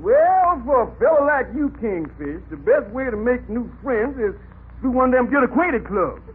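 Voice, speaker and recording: An actor playing a self-important small-time lawyer in old radio comedy, pompous, theatrical and declamatory. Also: male voice, very high-pitched, very animated, moderate pace. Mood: advising. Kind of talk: 1940s radio comedy acting. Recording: tinny, noisy old radio recording.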